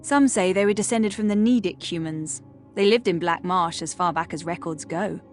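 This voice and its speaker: A woman playing a cautious, matter-of-fact scholar in a fantasy game, measured and informative. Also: lowish voice, faint music behind, close to an everyday voice.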